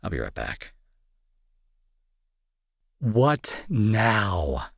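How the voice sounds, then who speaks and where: Husky, sarcastic young bully played by a man. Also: on the low side, wildly swooping pitch, very slow, a bit bright, no echo, studio quality, full character voice. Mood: teasing, needling. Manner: sarcastic, exasperated, sighing. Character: gruff young male bully, secretly worried and protective. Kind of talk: intimate audio roleplay acting, addressed to listener.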